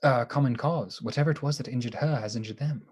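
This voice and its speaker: Exasperated, husky male doctor played by a man. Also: medium pitch, quick pace, darkish tone, muffled recording, natural voice. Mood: impatient. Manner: blunt, impatient, emotional. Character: exasperated male doctor, plain-spoken sceptic, demanding answers. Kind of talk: radio play acting.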